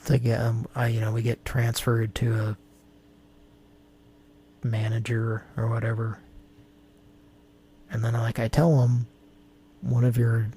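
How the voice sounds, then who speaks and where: A man's voice: medium pitch, monotone, slow pace, pauses often, warm tone, husky. A man hosting a prank-call show, chatting casually in a rambling, jokey and profane way. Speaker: host. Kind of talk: casual chat.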